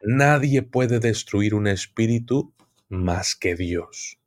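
A man's voice: on the low side, slow pace. A man who is an occultism expert guest, explaining at length in an interview and often repeating words while he searches for them.

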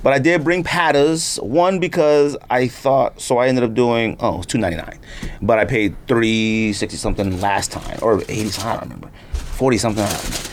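A man's voice: medium pitch, a little fast, slight background noise. A man hosting a sneaker podcast, chatting casually in slang and telling rambling stories in a conversational way. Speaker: podcast host. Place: podcast studio.

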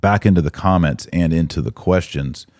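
A man's voice: low voice, moderate pace, darkish tone. An American man hosting a live-stream, talking conversationally and with opinion as he answers comments and poses rhetorical questions. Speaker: podcast host and religious commentator.

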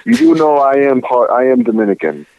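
A man chimes in on a casual wrestling podcast, teasing and emphatic. His voice swings widely in pitch and comes through thin and phone-like. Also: big pitch swings, a little fast, a crowd in the background. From United States.

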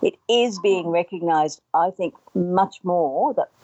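A woman's voice: on the low side, takes it slowly, warm tone, no room sound, dull audio. An older woman, answering in an interview in an earnest, emphatic way and repeating phrases to drive points home. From Australia. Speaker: trauma recovery expert, interview guest.

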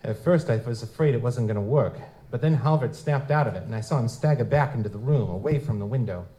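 An actor playing a world-weary, cynical private investigator in a live noir audio drama, wry and slangy in manner. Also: male voice, medium pitch, fast talker, mellow, lightly acted. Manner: wry, slangy, hard-boiled. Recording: live convention performance, clear background noise.

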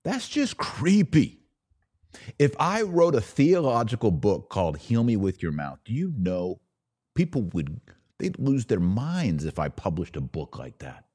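Male American podcast commentator, lower register, informal and mocking, opinionated delivery in a dry studio sound. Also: sing-song, a little slow, dark tone, slightly rough, no room sound. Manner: informal, slangy, mocking, incredulous. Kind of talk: opinionated religious commentary to an audience.

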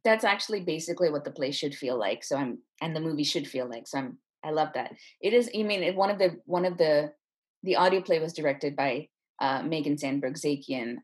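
A woman with a husky voice talking casually and enthusiastically as an interview guest, a writer explaining her work. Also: deep voice. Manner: enthusiastic, effusive. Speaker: playwright and screenwriter, interview guest.

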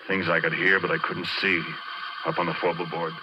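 A man playing a folksy working-class oil driller, telling an eerie tale in halting, ungrammatical speech as 1940s radio drama. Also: sits low, flat delivery, background noise, close to an everyday voice. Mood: weary, brooding. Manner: colloquial, ungrammatical, halting, confiding. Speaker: narrator and lead character of a radio drama. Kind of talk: 1940s radio drama first-person storytelling. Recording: dull 1940s radio recording with music.

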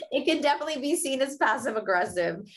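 A woman, an author being interviewed, giving upbeat conversational advice in short brisk points and laughing often as she explains. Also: sits high, lively intonation, a little hiss or hum.

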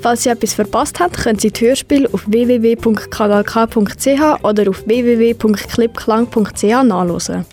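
A woman's voice: on the high side, normal speed, few pauses, a little mellow, soft background music. A teenage girl with a Swiss German accent presenting a scripted school radio programme. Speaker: student presenter.

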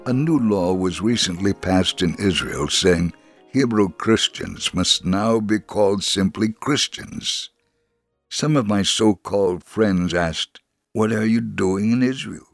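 An actor playing a devout male Holocaust survivor, giving a measured, earnest dramatic reading of a first-person memoir. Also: lowish voice, creaky, faint music behind, exaggerated voice. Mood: earnest, firm. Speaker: dramatic reader.

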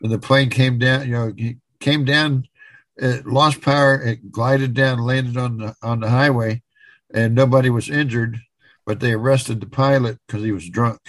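A man's voice: medium pitch, fairly even intonation, normal speed, fairly bright tone, no echo. A man co-hosting a podcast, casually retelling odd news stories in conversation. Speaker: podcast co-host.

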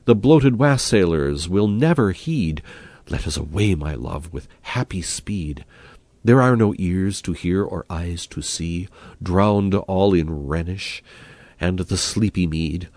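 A man's voice: low-pitched, lively intonation, slow pace, a little mellow, dry recording, full character voice. A man voicing a devoted young lover in a recited poem, speaking earnestly and urgently. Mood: ardent.

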